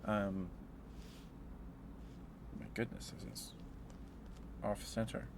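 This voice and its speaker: A man chatting in a rambling, affectionate ASMR style to live viewers, speaking softly into a close microphone. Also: lowish voice, flat delivery, slow. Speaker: ASMR livestreamer. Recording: clear background noise.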